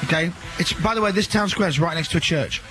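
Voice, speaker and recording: A man's voice: a little high, fast, music in the background, low-quality recording. A man hosting a radio breakfast show, telling stories and joking with his team in the studio. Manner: chatty, joking storyteller. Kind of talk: casual studio banter.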